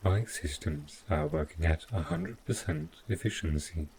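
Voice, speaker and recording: A deep-voiced robot butler played by a man speaks slowly and with stiff courtesy. He is protective and evasive, choosing formal, measured words as in an audio drama. A light background noise sits behind the voice. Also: darkish tone. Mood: evasive, insistent. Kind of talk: scripted audio roleplay addressed to the listener.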